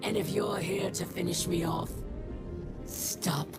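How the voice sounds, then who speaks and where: A woman's voice: on the low side, steady tone, slow pace. An actress playing a proud, bitter woman in a video game, curt and disdainful. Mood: bitter, dismissive. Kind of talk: dramatic video game voice acting. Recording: loud music under the voice.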